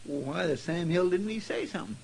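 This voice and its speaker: An actor playing a folksy, good-hearted deputy in a 1950s radio western, with a creaky voice and rural American accent. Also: male voice, high-pitched, brisk, full character voice. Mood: incredulous. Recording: slightly noisy old radio recording.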